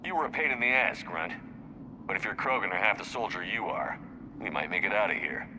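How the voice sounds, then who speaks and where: A no-nonsense soldier in command, speaking low in a sci-fi game. He is direct and controlled, keeping to the business at hand. Noticeable ambient noise runs underneath. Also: lively intonation, a little fast. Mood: dry, teasing. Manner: direct, controlled, matter-of-fact. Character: steady, no-nonsense human military commander, adult man. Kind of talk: video game character acting. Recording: clear vehicle-like background ambience.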